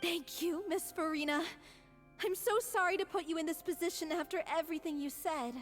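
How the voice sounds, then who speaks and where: A polite, high-voiced theatre woman, formal, over faint game music. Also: brisk. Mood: earnest. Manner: formal and courteous.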